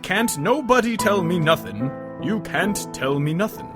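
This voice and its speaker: Man putting on a high, theatrical dramatic reader. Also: expressive, unhurried, music in the background. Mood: mock dramatic. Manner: exaggerated, theatrical, emphatic. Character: over-the-top dramatic reader of silly rap lyrics. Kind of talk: mock dramatic recitation.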